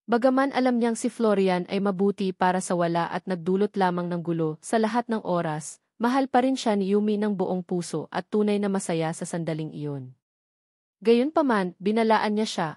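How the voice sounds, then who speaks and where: A woman's voice: mid-range voice, normal speed, a little mellow, no room sound, studio quality. A woman reading a novel aloud as a scripted audiobook narrator, keeping a formal reading voice.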